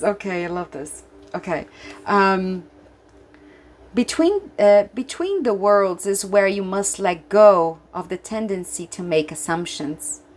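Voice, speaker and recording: A Brazilian woman with a Brazilian accent, whose first language is Portuguese, giving a tarot reading to viewers in an excitable way, often restarting her sentences. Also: mid-range voice, a little hiss or hum. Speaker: tarot reader.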